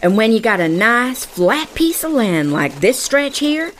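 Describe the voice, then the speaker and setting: An actress playing a bold, wry frontier scout with a folksy drawl in a western audio drama. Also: female voice, on the high side, very animated, unhurried, slight background noise. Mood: confident.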